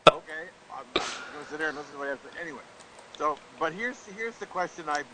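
A man co-hosting a talk show, speaking casually in a halting way with frequent restarts and chuckles. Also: fairly high pitch, expressive, very noisy. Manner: halting, restarts often, chuckles while talking. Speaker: co-host and columnist. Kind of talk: casual talk-radio chat.

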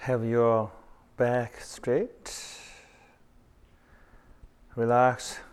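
Very slow, smooth male meditation guide. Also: medium pitch, level tone. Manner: slow, spaced phrases with pauses.

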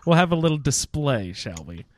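A man's voice: on the high side, very animated, moderate pace, a little hiss or hum. A man hosting a casual roundtable chat about a video game, steering the group with questions. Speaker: podcast host moderating a roundtable.

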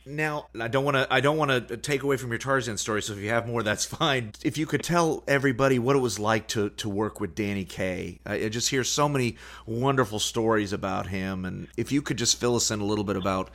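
A man's voice: medium pitch, brisk, fairly bright tone. A man hosting a radio interview in a conversational way.

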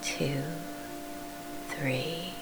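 A woman with a breathy, often whispery voice gently guides a sleep meditation, hushed and unhurried, with reassuring instructions. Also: sits low. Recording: clearly audible background hum.

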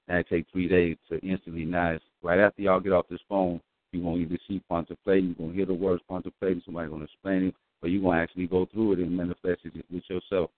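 A man co-hosting a call-in show, talking in a loose, emphatic way about spiritual ideas. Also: deep voice. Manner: loose, emphatic street talk. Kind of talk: casual spiritual chat. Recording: dry tinny phone line.

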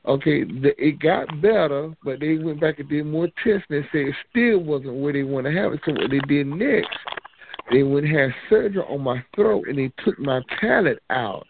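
A man's voice: on the high side, expressive, normal speed. A man, calling in and telling a long rambling story. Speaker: caller. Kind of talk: casual phone chat. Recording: tinny phone line, faint hiss.

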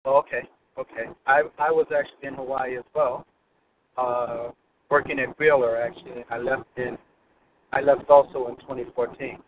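A man's voice: mid-range voice, fairly even intonation, normal speed. Man calling in from his car, rambles and restarts, thin phone line, faint hiss. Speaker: caller. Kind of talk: call-in question. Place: car, driving to work.

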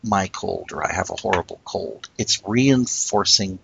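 A man co-hosting a casual discussion, talking in an earnest, reflective way and slowing down to stress his points. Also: low-pitched, creaky, a bit bright, breathy edge, muffled recording. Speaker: podcast co-host.

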